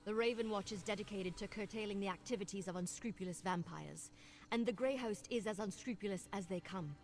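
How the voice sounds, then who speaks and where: An actress voicing a brisk, wry young vampire investigator in a fantasy video game, speaking with conversational confidence. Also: female voice, mid-range voice, a little mellow, natural voice. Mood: earnest, urgent. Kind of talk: video game character dialogue.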